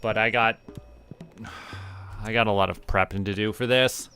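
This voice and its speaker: A man from Canada streaming a farming game, talking to himself in a relaxed, muttering way as he plays. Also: fairly low pitch, unhurried, a little hiss or hum. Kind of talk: casual gameplay commentary. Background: game music.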